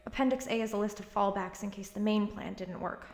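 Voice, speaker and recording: A woman's voice: medium pitch, steady tone, brisk, vocal fry, darkish tone, close to an everyday voice. A woman playing a determined, anxious young college student in an audio drama. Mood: urgent. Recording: roomy, faintly noisy recording.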